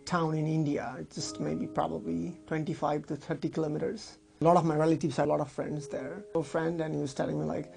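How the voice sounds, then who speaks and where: A man's voice: fairly high pitch, little pitch movement, a little fast, creaky, hardly any pauses, a little mellow, soft background music. A young man from Nepal, talking earnestly in an interview, often adding "like" and "right?". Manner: earnest, thoughtful, frequent "like" and "right?". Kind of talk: casual interview speech. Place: indoor room by curtained window.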